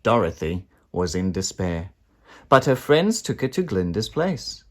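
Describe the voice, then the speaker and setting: A man narrating a children's storybook in an expressive, dramatic way. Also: low voice, unhurried. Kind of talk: children's storybook read-aloud.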